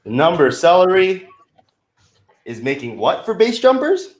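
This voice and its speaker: An American man hosting a party pitch game on a video call, teasing and mock-stern with his questions. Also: big pitch swings, measured pace. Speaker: game host.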